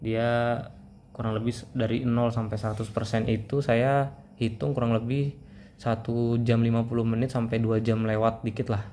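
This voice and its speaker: A man talks through a product review in a casual, explanatory way. His mid-pitched voice stays quite flat and even. There is some light noise behind him. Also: normal speed. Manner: informal, explanatory, addresses viewers as friends.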